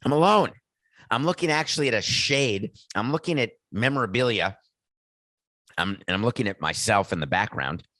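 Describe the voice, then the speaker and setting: A raspy man hosts a sports podcast on his own, talking nonstop with a sarcastic, mocking edge. His voice sits fairly low, and the sound is dry and close with no room around it. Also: animated, moderate pace, a bit bright, no echo. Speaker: podcast host. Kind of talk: solo sports commentary monologue. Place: alone in a home studio. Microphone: desk setup with a webcam.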